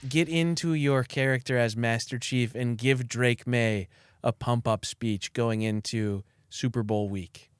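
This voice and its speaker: A man hosting a casual interview, upbeat and enthusiastic with quick reactions. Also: medium pitch, fairly even intonation, clear, resonant tone, no room sound, studio quality. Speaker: podcast host.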